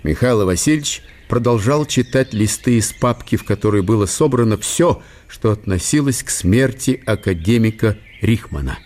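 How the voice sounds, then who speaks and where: A man's voice: on the low side, expressive, moderate pace, few pauses, a little mellow. A man narrating a literary radio drama from a script.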